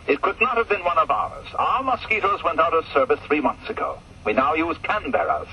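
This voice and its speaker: Clipped, officious RAF officers speaking over a crackly telephone line, as a male storyteller puts them on. The voices sit high and swing up and down, sounding distant and muffled. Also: sing-song, a little fast. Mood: curt.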